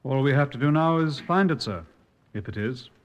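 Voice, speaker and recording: A shrewd British police sergeant, played by a man in a vintage radio drama, heard with long reverb on a dull old recording. He is deferential and matter-of-fact, with a mid-pitched voice. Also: expressive, normal speed, close to an everyday voice. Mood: dutiful.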